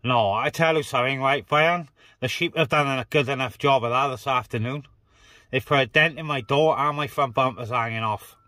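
A man's voice: mid-range voice, normal speed, bright, forceful tone, no room sound. A man vlogging in a casual, chatty way, talking straight to his viewers. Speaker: vlogger and radio hobbyist. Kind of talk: casual chat to camera. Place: outdoors on moorland and inside a parked car. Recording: clicky with faint background noise.

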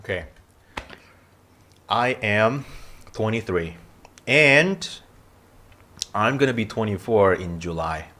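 A man in his twenties from Indonesia, hosting a casual live video chat with strangers in a small room, relaxed and joking. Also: takes it slowly, pauses often. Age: 20s. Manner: relaxed, joking, low-key, trails off quietly. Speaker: livestream host. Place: small room with shelves and gaming chair.

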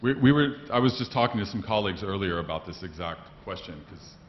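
A man on a panel in a lecture hall, talking eagerly with many stumbles and restarts. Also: lowish voice. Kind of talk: panel discussion answer. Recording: muffled roomy hall recording with faint noise.

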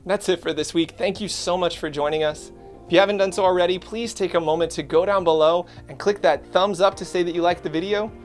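A man in his thirties, earnest and animated, giving a scripted talk to camera about church ministry, recorded in a studio. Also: sits high, brisk, faint music behind.

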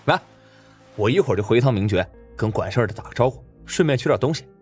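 A man's voice: mid-range voice, expressive, fast talker, slightly rough, soft background music. A man playing an easygoing, hesitant working man and loyal buddy, talking colloquially in an audiobook drama.

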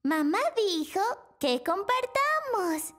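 A woman's voice: very high-pitched, sing-song, slow, fairly bright tone, exaggerated voice. A woman voicing a confident, fussy little animal girl in a children's cartoon dub, chirpy and emphatic. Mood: eager. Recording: music behind the voice.

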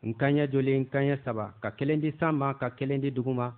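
A man's voice: medium pitch, steady tone, fairly quick. Lo-fi radio host, man. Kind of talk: radio show intro and blessing. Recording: phone-like lo-fi radio sound.

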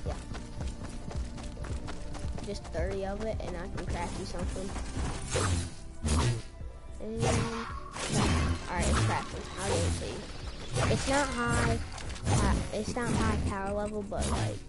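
Squeaky teen girl. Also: big pitch swings, measured pace, long pauses. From North Carolina. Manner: chatty, generous, full of "like" fillers. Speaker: gamer on voice chat. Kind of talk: casual game chat. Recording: game music playing loudly behind. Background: game music.

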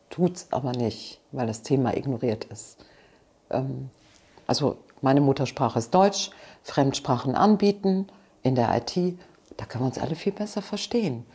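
A woman's voice: a bass voice, dark tone, breathy edge. A woman, answering interview questions frankly and with passion, seated in a living room. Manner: passionate, frank, with restarts and asides. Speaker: interview guest.

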